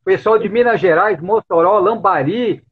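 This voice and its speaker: Paulistano guy on a video call, high voice, lots of "sim", dull lo-fi sound. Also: moderate pace. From São Paulo city, Brazil. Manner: agreeable, frequent short affirmations, praises the host. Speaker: guest expert. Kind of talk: casual live stream chat. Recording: muffled husky online call audio.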